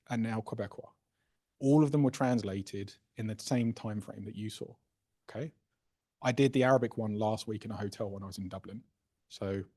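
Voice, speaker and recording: Husky-voiced man walking through a demo. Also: on the low side, a little mellow. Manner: casual, explains while clicking, frequent "right?" and "okay?" checks. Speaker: workshop presenter. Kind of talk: live software demo walkthrough. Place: workshop room.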